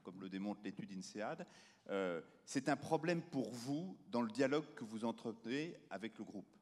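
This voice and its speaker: A man's voice: fairly low pitch, lively intonation, moderate pace, a little mellow. A man moderating a round table, posing long questions with many fillers and restarts. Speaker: panel moderator. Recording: reverberant conference hall.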